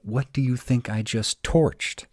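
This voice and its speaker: A man playing a gruff, protective, uptight male were-dragon in an intimate roleplay audio drama, halting and dramatic. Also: medium pitch, a little mellow, no room sound, studio quality, lightly acted. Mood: agitated, incredulous. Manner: halting, dramatic, slow delivery with emphatic outbursts.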